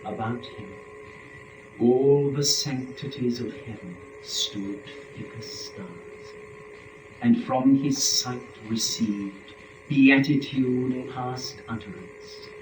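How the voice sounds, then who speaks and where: A man giving a stately, measured dramatic recitation of classical epic verse. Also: medium pitch. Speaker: dramatic reader of epic poetry. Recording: echoey room with music behind.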